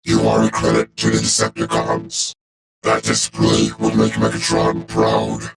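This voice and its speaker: A man voicing a cold, loyal robot warrior for a video game, speaking in a clipped, formal, deadpan way through a robotic filter. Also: extremely low pitch, a little slow, creaky, exaggerated voice, rough recording. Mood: cold approval. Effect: robotic vocoder filter.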